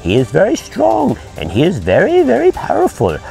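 A man voicing a friendly young male superhero in a high falsetto, playing with toys. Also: huge pitch swings, moderate pace, creaky, dark tone, soft background music, exaggerated voice, low-quality recording. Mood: earnest. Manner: cheery falsetto, warning tone. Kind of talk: toy play voice acting.

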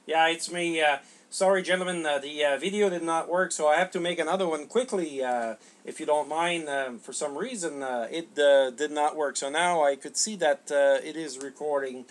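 A middle-aged man from Canada talking as an amateur radio operator in a halting, polite way. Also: on the high side. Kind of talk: unscripted ham radio exchange. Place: small home radio room.

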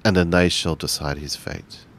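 A man playing a sober, law-minded samurai in a roleplay game, measured and formal. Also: deep voice, fairly even intonation, a bit bright. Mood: measured, cautioning.

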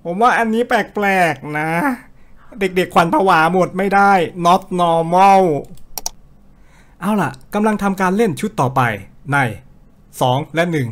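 A man's voice: high-pitched, lively intonation, measured pace. A young man from Thailand hosting a game video, joking casually and reading prompts aloud. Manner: joking, talks to the game and viewers. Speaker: gaming video host.

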